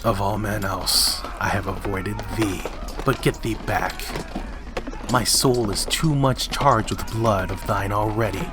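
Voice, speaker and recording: A man's voice: on the low side, unhurried, natural voice. A man playing a defiant, doomed warrior king in an amateur Shakespearean dramatic reading. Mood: menacing, scornful. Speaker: amateur reader in library staff dramatic reading. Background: music and battle sound effects.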